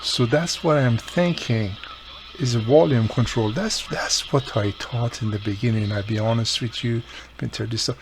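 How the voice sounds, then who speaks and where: Man, gravelly fry, mid-pitched, informal step-by-step how-to talk, hands-on tinkering, faint background noise. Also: normal speed. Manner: informal, explains step by step while working. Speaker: radio repair hobbyist presenting his own how-to video. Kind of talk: casual hands-on repair walkthrough.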